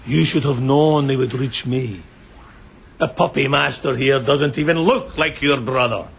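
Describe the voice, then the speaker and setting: An actor playing a sadistic bandit chieftain in a radio drama, theatrical and mocking, relishing every word with menace. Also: male voice, medium pitch, measured pace, creaky. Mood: sneering, taunting. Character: gloating, sadistic bandit chieftain taunting bound captives.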